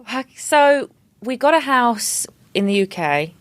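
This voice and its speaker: A woman's voice: fairly high pitch, sing-song, slow, dry recording. A woman in her twenties with a South East London accent, chatting casually as a podcast guest, repeating words and laughing often. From England. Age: 20s. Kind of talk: casual interview chat.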